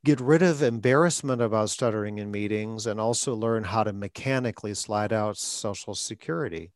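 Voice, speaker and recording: A man's voice: lowish voice, brisk, no room sound. Guy coaching on a podcast, short phrases, sometimes catches on a word, dry sound. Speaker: stuttering coach and podcast host. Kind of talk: conversational coaching talk.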